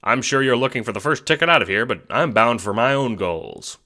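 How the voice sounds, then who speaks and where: A man voicing a hearty, eccentric British gentleman explorer with pompous, old-fashioned exclamations in an audio drama. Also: medium pitch, lively intonation, fairly quick, pitched above the performer's own voice. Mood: hearty, jovial.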